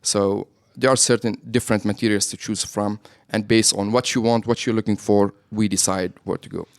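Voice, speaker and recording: Lebanese-accented man, low voice. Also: fairly even intonation, moderate pace, clear, resonant tone. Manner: explains with frequent "right?" tags. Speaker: business owner guest. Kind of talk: radio interview.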